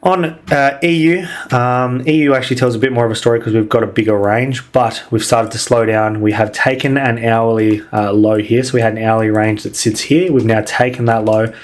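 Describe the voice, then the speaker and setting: Man, young adult, croaky voice, casual chart walkthrough, frequent fillers, medium pitch. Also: a little fast, few pauses. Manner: relaxed, filler-heavy, many ums. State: losing his voice, croaky. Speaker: trading analyst presenting a weekly outlook. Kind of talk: casual market analysis over charts.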